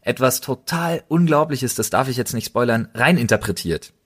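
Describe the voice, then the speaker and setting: A man's voice: mid-range voice, animated, normal speed, slightly rough. A German man co-hosting a casual podcast, speaking colloquially and emphatically, often doubling up his intensifiers. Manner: colloquial, emphatic enthusiast who repeats intensifiers. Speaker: podcast co-host.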